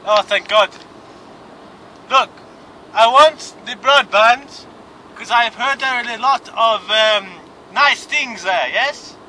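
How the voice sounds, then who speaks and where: A man's voice: very high voice, slow, pauses often, sharp tone, a little hiss or hum, heavily acted. A man putting on a dim, confused caller for a prank phone call, rambling and feigning misunderstanding. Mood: bumbling. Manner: bumbling, absurd, feigned confusion. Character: dim foreign-sounding male caller Ravosh.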